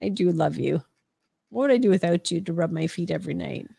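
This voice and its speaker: A woman's voice: on the low side, expressive, brisk, creaky, mellow, slightly rough. A sniffly middle-aged woman, chatting casually and teasingly as she co-hosts and reads out comments. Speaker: livestream co-host reading chat comments. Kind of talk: casual chat.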